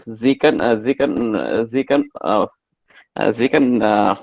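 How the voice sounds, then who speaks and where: A man from Karnataka in India speaking unprepared learner German, very halting and repeating words. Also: medium pitch, vocal fry, a little mellow, no echo, highs cut off. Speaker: club member.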